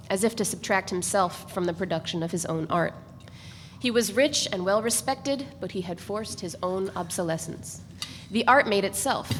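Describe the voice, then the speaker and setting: A woman narrates a novel at a live reading in a measured, steady voice. Her pitch sits in the middle range. A long room echo and a faint background hiss surround her. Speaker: narrator reader. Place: event space.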